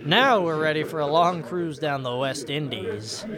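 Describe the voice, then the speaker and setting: An actor playing a boastful, menacing pirate captain in an audio drama, with a blustering, swaggering delivery. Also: male voice, fairly high pitch, expressive, unhurried, a crowd in the background. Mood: hearty.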